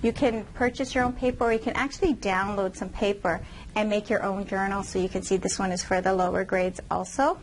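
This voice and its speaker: Female professor, mid-pitched, narrating slides in a steady teaching voice, faint hiss behind. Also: poor audio. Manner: reads slides aloud, explanatory, measured. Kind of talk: recorded lecture narration over slides.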